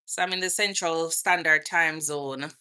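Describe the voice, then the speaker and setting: Jamaican woman hosting a live chat outdoors, lowish pitch, bright tone, friendly and chatty. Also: steady tone, measured pace, clear, resonant tone. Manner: chatty, greets viewers by name. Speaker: vlogger and nurse. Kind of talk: casual livestream Q&A.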